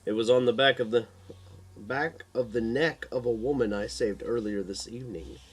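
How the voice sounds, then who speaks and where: A man's voice: medium pitch, fairly even intonation, moderate pace, husky, voice pushed down, close to an everyday voice. A man voicing a hot-headed young college student with superpowers in an audiobook, exclamatory and easily frustrated. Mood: explaining.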